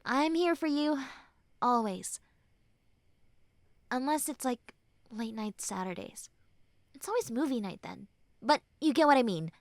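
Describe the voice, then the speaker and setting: Tsundere girl friend, played by a woman: high register, unhurried, halting, bashful but caring; intimate roleplay audio. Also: animated, no room sound. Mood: soft, soothing. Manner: halting, bashful, earnest, soft and breathy when consoling. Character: mean tsundere young woman turned tender, caring childhood friend. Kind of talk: intimate roleplay audio drama, spoken to the listener.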